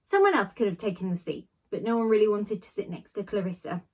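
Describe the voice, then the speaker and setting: A woman reads a children's novel aloud like a teacher to a class, stressing words and pausing for drama. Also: medium pitch, lively intonation, darkish tone, slightly rough, rough recording.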